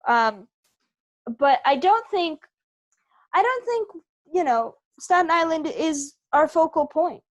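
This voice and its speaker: A woman's voice: extremely high pitch, lively intonation, slow, long pauses. A woman actor being interviewed, speaking conversationally and thoughtfully, repeating phrases and checking in with "right?". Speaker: interviewed actor from a theater company. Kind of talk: earnest conversational interview answers.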